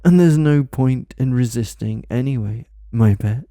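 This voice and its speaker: A man speaking a slow, dominant, scripted hypnosis monologue with a commanding, repetitive delivery. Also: medium pitch, dark tone, no echo, clean studio sound. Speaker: hypnosis audio creator.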